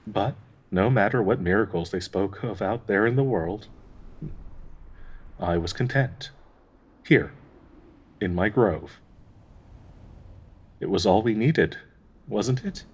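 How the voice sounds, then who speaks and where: A man acts out a tender male dryad in a fantasy audio roleplay, grieving and devoted. The voice is fairly low and quite husky, with a lot of breath in it. He speaks slowly and haltingly, wistful and in need of reassurance. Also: a little mellow. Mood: nostalgic wonder, then pleading, insecure. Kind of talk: intimate dramatic audio roleplay monologue.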